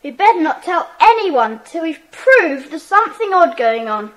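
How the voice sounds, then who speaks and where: A keen young club member from a children's adventure play. The voice is very high and lively, rising and falling freely. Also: female voice, moderate pace, rough recording. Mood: keen, uneasy. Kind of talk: children's audiobook dramatisation.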